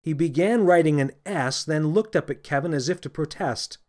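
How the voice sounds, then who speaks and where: A man reading his own children's story as scripted audiobook narration, with an expressive storytelling manner. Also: a little high, normal speed.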